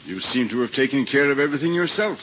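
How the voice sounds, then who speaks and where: A man in a 1950s radio drama playing a calm, patient elder priest who answers with gentle dryness. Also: medium pitch, fast, lightly acted. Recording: lo-fi old radio broadcast, faint hiss.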